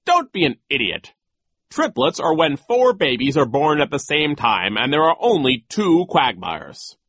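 A woman's voice: low-pitched, big pitch swings, unhurried, husky, no room sound, pitched above the performer's own voice, heavily acted. A woman voicing a pompous, childish male vice principal in an audiobook, mocking and bossy, with gleeful cruelty. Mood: scornful, bossy.

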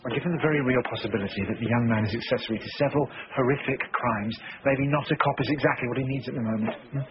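An actor playing a sharp, blunt consulting detective with a British accent, measured and dry. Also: male voice, medium pitch, muffled recording, background noise, lightly acted. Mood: insistent. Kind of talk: TV drama acting.

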